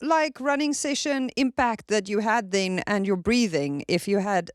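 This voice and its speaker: A woman co-hosting a casual podcast, reacting with expressive disbelief. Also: medium pitch, moderate pace, clear, resonant tone, no room sound, clean studio sound. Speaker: podcast co-host.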